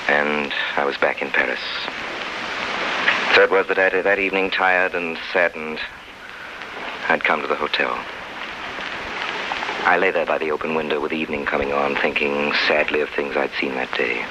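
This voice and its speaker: A man's voice: rumbling low, fairly even intonation, normal speed, pauses often, background noise. An actor playing a saddened, earnest young man who tells of his past and his dreams in 1930s radio drama style. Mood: melancholy reverie. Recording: dull 1930s radio recording, loud music behind.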